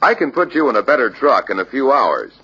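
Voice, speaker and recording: An actor playing a courteous truck salesman in a scripted training film, making a polite, reasoned pitch. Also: very animated, a little fast, husky. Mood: persuasive. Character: persuasive, courteous truck salesman, adult man. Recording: dull lo-fi old training film soundtrack.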